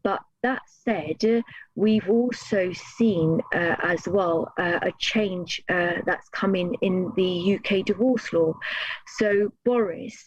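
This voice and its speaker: A family law solicitor answering interview questions in a halting way, with many fillers and restarts. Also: mid-range voice, no room sound. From United Kingdom. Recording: dull lo-fi dry sound.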